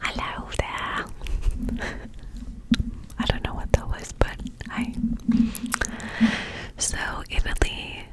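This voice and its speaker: Canadian woman whispering asmr right up on the mic, breathy, slow and drawn out. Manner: close breathy whisper with mouth sounds, lively in pitch. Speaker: ASMR creator. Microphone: close-up mic.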